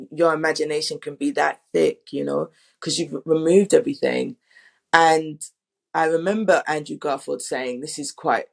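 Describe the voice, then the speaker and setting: A woman director in a casual panel talk, thinking aloud in a halting, conversational way. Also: deep voice, creaky. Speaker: audio drama director.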